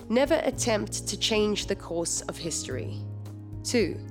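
A woman acting in an audio drama as a composed, businesslike project leader, speaking in a measured, formal, precise way. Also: medium pitch, lightly acted. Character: composed, businesslike female project leader of a time-travel team.

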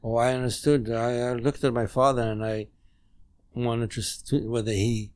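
Guest, man in his 90s, German native, measured halting recall, mid-pitched and dry. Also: little pitch movement, darkish tone, clear, resonant tone, no echo. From Poland. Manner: halting, measured, with frequent restarts. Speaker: interview guest. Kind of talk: recounting memories in conversation. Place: home dining room.

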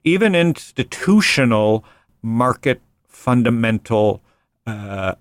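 A man hosting a casual finance podcast, loose and joking, rambling with quick asides. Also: medium pitch, very animated, dry recording. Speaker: podcast host and venture investor.

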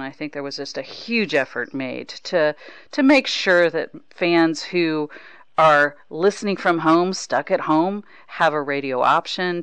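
A woman's voice: deep voice, fairly bright tone, smooth, dry recording. A woman hosting a casual sports talk interview. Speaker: podcast host interviewing a broadcaster.

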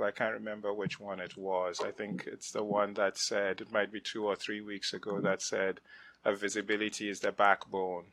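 A middle-aged man from West Africa, a venture investor speaking as a guest in a casual, animated interview, often restarting his sentences. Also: lowish voice, gravelly, dry recording, rough recording. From West Africa (Ghana, Nigeria). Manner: animated, frequent restarts and laughs. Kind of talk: conversational interview.

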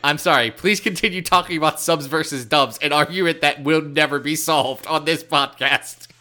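A man's voice: fairly high pitch, normal speed, sharp tone. A man from the American Midwest co-hosting a podcast, with dry, teasing jokes in casual chat. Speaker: podcast co-host.

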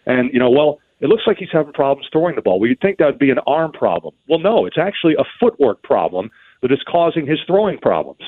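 A man's voice: mid-range voice, sing-song, fast talker, slightly rough. A man giving earnest, detailed interview answers as a baseball broadcaster, often stammering into restarts. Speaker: baseball radio play-by-play broadcaster, guest. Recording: thin phone-like line.

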